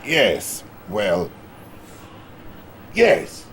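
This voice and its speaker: An actor playing a pompous, jovial Soviet premier in a game cutscene, with a Russian accent and a halting, theatrical delivery. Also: male voice, lowish voice, huge pitch swings, fairly bright tone, slight background noise, exaggerated voice. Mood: hesitant, wavering. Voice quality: gravelly, creaky. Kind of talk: video game cutscene acting.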